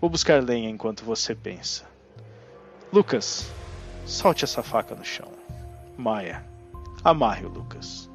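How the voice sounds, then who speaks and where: An actor with a Brazilian accent playing a manipulative undead necromancer in an audio drama, theatrical, grandiose and cajoling. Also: male voice, lowish voice, sing-song, unhurried, creaky, long pauses, faint music behind, full character voice. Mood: smug, cajoling. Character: undead male necromancer ancestor, smug, manipulative, persuasive villain.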